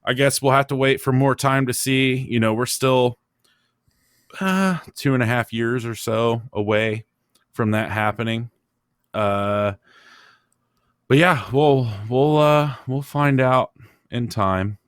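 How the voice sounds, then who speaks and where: A man hosting a motorsport podcast, giving his opinions in casual, halting talk as he thinks aloud. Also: mid-range voice, smooth, no echo, clean studio sound. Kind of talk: casual racing discussion, opinionated.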